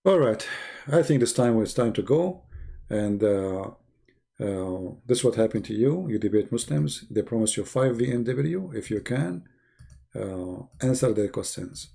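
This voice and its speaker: Male live stream host, mellow dark tone, gravelly rasp, sarcastic, repetitive, off-the-cuff. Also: lowish voice, expressive, unhurried. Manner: teasing, sarcastic, repeats phrases. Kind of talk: rambling religious debate live stream chat.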